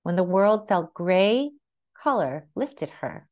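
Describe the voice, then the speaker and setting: A woman reading a children's picture book aloud as narrator, with expressive, carefully phrased storytelling. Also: medium pitch, slow pace.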